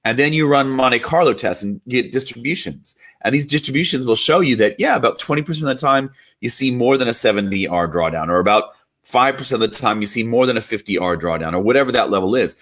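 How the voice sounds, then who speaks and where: A man getting over a cold, hosting a relaxed instructional talk on trading, explaining step by step with a few fillers and restarts. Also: medium pitch, quick pace. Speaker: trading educator and channel host. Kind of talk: casual instructional talk to camera.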